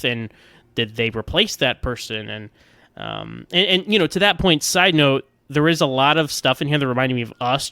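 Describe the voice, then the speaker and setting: A man's voice: medium pitch, a bit bright, clean tone, dry recording. A man giving casual, rambling episode commentary as a podcast host, often stammering over repeated words.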